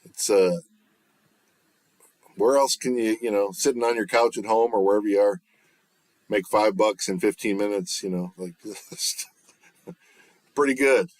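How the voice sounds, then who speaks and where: A man with a bass voice chatting casually on his livestream, relaxed and rambling with lots of fillers and restarts. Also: measured pace, pauses often, dry recording. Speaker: livestreamer and recording artist. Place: home, off-grid.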